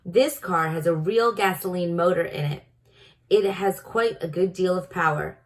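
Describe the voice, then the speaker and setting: A woman voicing a kindly, excitable male dentist who tinkers, in a storybook reading. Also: fairly low pitch, normal speed, natural voice. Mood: kindly, proud.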